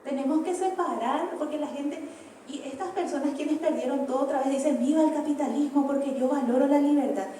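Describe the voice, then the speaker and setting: A woman's voice: high voice, brisk, warm tone, slight background noise. A woman, speaking out emphatically on a panel at a book presentation, with pointed rhetorical questions. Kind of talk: panel discussion remarks. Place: large hall, book presentation. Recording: echoey hall from far off.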